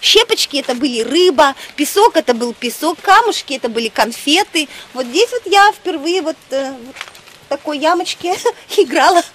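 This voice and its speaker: A woman's voice: sits high, normal speed, slight background noise. A woman from Russia, an actress, reminiscing expressively about her childhood home in a documentary interview, speaking outdoors in a residential neighborhood. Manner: nostalgic and expressive, with emphatic exclamations. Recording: background music under the voice.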